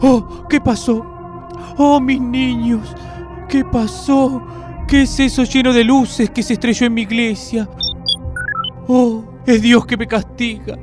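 A man's voice: very high-pitched, little pitch movement, slow pace, music in the background. A man playing a fretful, shifty parish priest with a plaintive, exclamatory manner in a comic radio play. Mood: panicked. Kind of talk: comedic amateur radio play acting.

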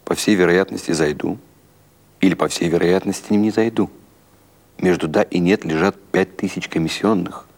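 A man playing an anxious small-time broker in an audiobook story, halting, roundabout and deferential. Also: low voice, a little mellow. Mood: worried. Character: anxious, wheedling small-time Odessa broker with many mouths. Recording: music under the voice.

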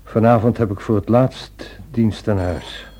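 A man acting in a radio play as a weary, guilt-ridden husband, speaking in an uneasy, halting way. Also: lowish voice, steady tone, dark tone. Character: tired, guilt-ridden husband, haunted and defensive.

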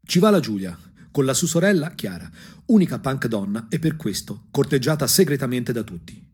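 Husky Tuscan man telling a punk tale. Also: medium pitch, big pitch swings, moderate pace, darkish tone. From Anghiari, Tuscany, Italy. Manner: ironic, angry, emphatic storytelling. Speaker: stage actor and storyteller. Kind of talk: theatrical monologue for a punk radio drama. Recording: music under the voice. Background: music.

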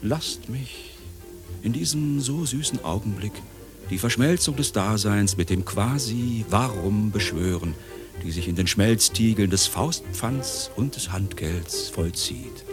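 An actor playing a flamboyant, grandiloquent uncle who performs in a nightclub, in a pompous, theatrical radio play style. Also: male voice, on the low side, unhurried, close to an everyday voice. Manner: pompous, theatrical, florid declaiming. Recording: music playing behind.

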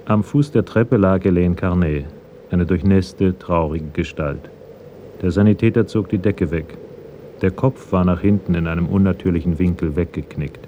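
A man's voice: low voice, moderate pace, phone-quality sound, slight background noise. A man reading scripted narration as the narrator of a German radio crime drama.

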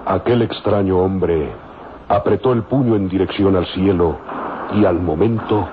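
Male serial narrator, low register, theatrical and suspenseful; old band-limited radio recording under loud music. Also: unhurried, narrow, tinny audio, noisy background. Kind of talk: dramatic scripted radio narration.

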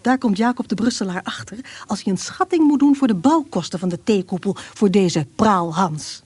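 An actress playing a wry, knowing storyteller who narrates a Dutch radio play straight to the listener. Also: female voice, mid-range voice, animated, normal speed, a little mellow, slightly rough, dry recording. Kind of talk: scripted narration.

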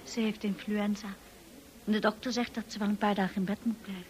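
An actress playing a shy, naive young paid companion in a radio play, hesitant, timid and polite. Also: female voice, mid-range voice, fairly even intonation, a little fast, lightly acted. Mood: shy, earnest. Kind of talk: radio drama acting.

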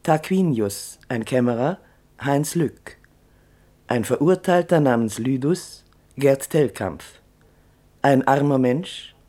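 A male radio announcer reads a formal script. His tone is mellow and his delivery slow and measured, with every item set apart. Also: medium pitch, animated.

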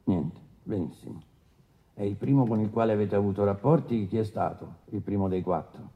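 A man acting a brusque, paternalistic presiding judge who questions haltingly and interrupts impatiently in a courtroom radio drama. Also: on the low side, highs cut off, natural voice. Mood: probing. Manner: interrupting, hesitant filler-laden questioning, impatient.

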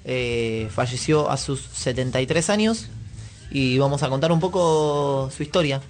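A man's voice: mid-range voice, a little slow, a little hiss or hum. A man with an Argentine accent hosting a radio show in casual, chatty style. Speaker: radio host. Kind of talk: casual chat.

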